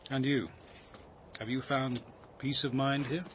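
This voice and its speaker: Actor for courteous, quietly probing male in a mystery radio drama, English accent, middle register, slight background atmosphere. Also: medium pitch, level tone, a little hiss or hum, natural voice. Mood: gently probing. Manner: measured, articulate, quietly probing. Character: courteous, observant, measured gentleman confidant in a mystery. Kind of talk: naturalistic radio drama acting. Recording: faint outdoor ambience behind the voice.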